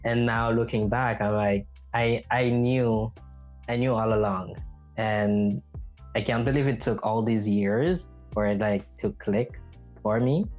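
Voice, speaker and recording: A man's voice: on the low side, a little slow, a little mellow, faint music behind. A man from Haiti hosting a podcast, talking casually and reflectively about personal experience. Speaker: podcast host.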